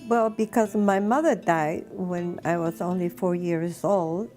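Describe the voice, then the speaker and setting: Slow-speaking elderly woman. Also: on the low side, mellow, faint music behind. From Lima, Peru. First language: Spanish. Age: 80s. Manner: unhurried, pausing between short phrases. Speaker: interviewee recounting personal history. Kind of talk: reminiscing oral history interview.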